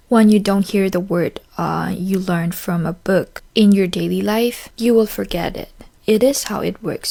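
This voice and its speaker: A young woman with a mid-range voice hosts a friendly podcast for learners. She speaks clearly and unhurriedly, with an occasional filler or restart along the way. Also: medium pitch. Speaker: podcast host and graduate student. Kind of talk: casual chat, then a solo talk for learners.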